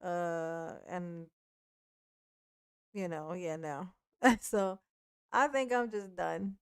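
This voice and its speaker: A woman's voice: fairly low pitch, very slow, clean tone, no room sound, clean studio sound. A woman in her forties chatting casually on a live stream, speaking bluntly and profanely with frequent restarts and laughs. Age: 40s.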